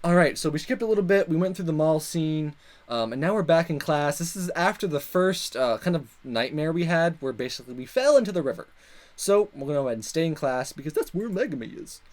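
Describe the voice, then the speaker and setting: A man's voice: on the high side, lively intonation, a little fast. A man hosting a visual novel let's play, recapping and narrating, with music under his voice.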